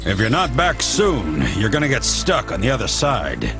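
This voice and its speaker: An actor playing a brisk security officer at a checkpoint in a video game. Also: male voice, mid-range voice, huge pitch swings, over music. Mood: stern, warning.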